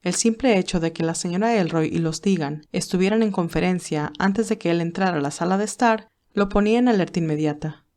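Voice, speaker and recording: A woman's voice: fairly low pitch, brisk, darkish tone. A woman reading scripted story narration for an audiobook.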